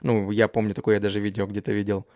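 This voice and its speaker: A man's voice: on the low side, monotone, quick pace, darkish tone, no room sound. Man with dry, slangy reaction commentary. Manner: dismissive, slangy, lots of fillers. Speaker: reaction video host.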